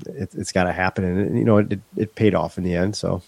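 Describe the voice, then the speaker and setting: A man's voice: low-pitched, fairly even intonation, fast, creaky, darkish tone, no echo. A middle-aged man from Western New York, stuffed up from a head cold, chatting candidly as a podcast guest with many restarts. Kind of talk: casual interview chat.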